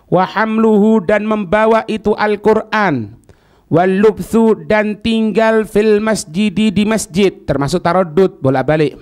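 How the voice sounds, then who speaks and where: A man's voice: high voice, smooth. A man with a Javanese accent teaching a religious lesson, didactic, repeating phrases and checking that students follow. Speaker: Islamic teacher.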